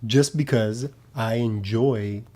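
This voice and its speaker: A man's voice: lowish voice, takes it slowly, mellow. A man co-hosting a casual studio conversation, laid-back and reflective.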